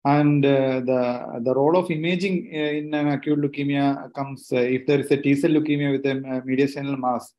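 A man in his thirties with an Indian accent, a doctor explaining haltingly as a panelist on a video call. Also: mid-range voice, fairly even intonation, warm tone. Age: 30s. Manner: halting, hesitant delivery with frequent pauses. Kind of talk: explanatory medical panel answer. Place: small room indoors. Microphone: laptop on a video call. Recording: dull lo-fi video call audio.

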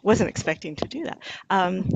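A woman's voice: medium pitch, normal speed, heavy background noise. A woman from Newark speaking up in a video discussion, hesitant and reminiscing, with fillers. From Newark, New Jersey. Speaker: participant. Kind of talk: unscripted comment. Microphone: video call. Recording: dull, muffled call audio.

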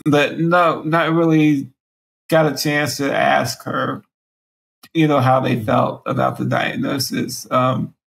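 A man in his forties with a raspy voice, speaking through a breathing machine mouthpiece and pausing often as a guest on a video call. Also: medium pitch, steady tone, fairly bright tone, rough recording. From Alabama. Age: 40s. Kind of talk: candid personal interview. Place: small room at home, indoors.

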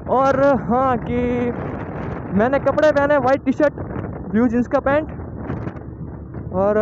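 A young man from Gujarat chatting excitedly to viewers while riding a motorbike, recorded on a helmet mic. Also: very high voice. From Gujarat, India. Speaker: motovlogger. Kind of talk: casual motovlog narration while riding. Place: outdoors on a moving motorbike. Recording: muffled lo-fi audio with wind noise.

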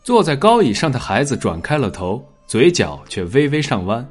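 A man narrating a dramatic scripted story in a measured, expressive storytelling manner. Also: medium pitch, husky, faint music behind. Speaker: audiobook narrator.

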